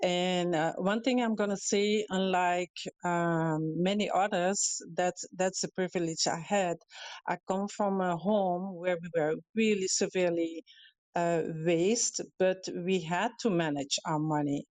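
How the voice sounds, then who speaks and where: Slow-spoken Dutch woman, low voice. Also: steady tone, a little mellow, no room sound. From Europe. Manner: slow, halting, with many fillers and restarts. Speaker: guest speaker. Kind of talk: casual talk on a live audio show. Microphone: calling in remotely.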